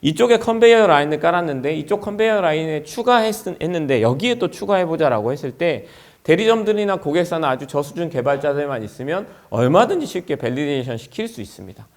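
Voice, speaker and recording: A man's voice: fairly high pitch, expressive, quick pace. A man presenting a product at an industry conference in an explanatory way, as a company executive would.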